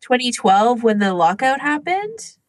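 A woman's voice: a little high, big pitch swings, unhurried, no echo, clean studio sound. A woman co-hosting a podcast, chatting casually and peppering her talk with "like". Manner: chatty, frequent "like" as filler. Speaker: podcast host. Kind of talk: casual chat among fan podcasters.

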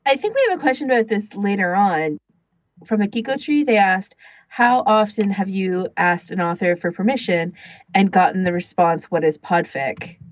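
A woman's voice: medium pitch, animated, moderate pace. A woman co-hosting a podcast in casual conversation, peppering her talk with "like" and acting out imagined quotes. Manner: frequent use of "like", quotes imagined speech. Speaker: podcast co-host. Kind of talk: casual group chat.

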